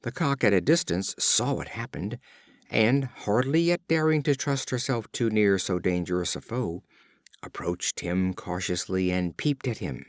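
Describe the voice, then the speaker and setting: A man reading storybook fables as a scripted audiobook narration, in an expressive storyteller's delivery. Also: on the low side, a little slow, dry recording, studio quality. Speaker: audiobook reader.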